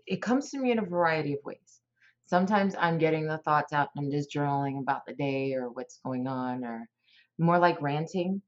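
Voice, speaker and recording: A woman in her forties, a teacher from South Florida, chats as a podcast guest. Her voice sits quite low, and she rambles eagerly with many restarts. The audio is muffled and lo-fi. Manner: warm, rambling and enthusiastic, with many fillers and restarts. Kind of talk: casual interview chat.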